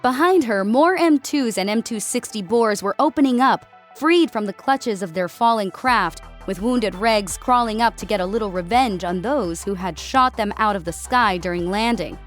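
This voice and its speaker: A woman's voice: mid-range voice, expressive, moderate pace, soft background music. A woman reading scripted narration of a military science fiction story as an audiobook narrator.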